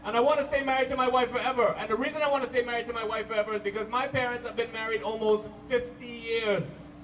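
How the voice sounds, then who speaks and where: A man with a Canadian accent performing stand-up comedy to a live audience, telling stories playfully with drawn-out emphasis and pauses. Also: very high voice, fairly even intonation, reverberant. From Toronto, Canada. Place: live comedy show at a park venue. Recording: distant mic, hissy live-show camcorder sound.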